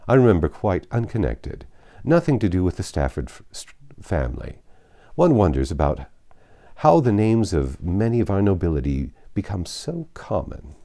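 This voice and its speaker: A man's voice: low voice, wildly swooping pitch, normal speed, vocal fry, mellow, exaggerated voice. A man voicing a vain, haughty middle-aged baronet, pompous and dismissive, in a classic novel reading.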